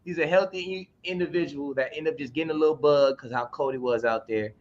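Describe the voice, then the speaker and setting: A man in his twenties in casual chat, animated and teasing, on slightly muffled audio. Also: a little high, fast, slight background noise. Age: 20s.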